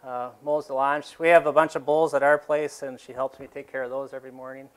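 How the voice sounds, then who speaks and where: A man's voice: medium pitch, little pitch movement, fairly quick, smooth. A dairy farmer in his fifties giving a casual talk to a seated audience in a large exhibition hall, rambling with fillers and restarts.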